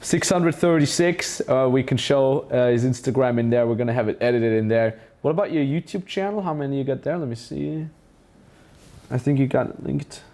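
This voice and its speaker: A man's voice: mid-range voice, fairly quick, a little mellow. A man with a German accent hosting a casual interview as a business mentor, enthusiastic and full of praise. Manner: enthusiastic, praising, many restarts.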